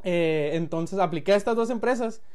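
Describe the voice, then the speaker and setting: A man's voice: sits high, normal speed, darkish tone, clean tone. A man in his late twenties from Sinaloa with a Mexican accent, a guest telling his story in a loose, laughing way, restarting phrases and acting out quotes. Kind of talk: casual storytelling chat.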